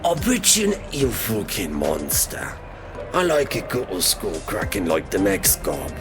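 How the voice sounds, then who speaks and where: A man voicing a rough, hot-tempered male goblin who swears and threatens. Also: on the low side, huge pitch swings, measured pace, music in the background, exaggerated voice. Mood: snarling. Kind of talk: audio drama roleplay.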